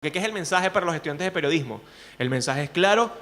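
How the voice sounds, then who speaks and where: Bright-voiced Venezuelan man coaching students. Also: on the high side, quick pace. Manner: animated, asks frequent rhetorical questions. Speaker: journalist and speaking coach, guest. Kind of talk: lively talk to students.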